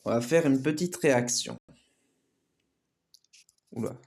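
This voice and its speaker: A man's voice: medium pitch, slow, vocal fry, a little mellow. A man hosting a casual game show reaction video, rambling with many fillers. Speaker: YouTube reaction host.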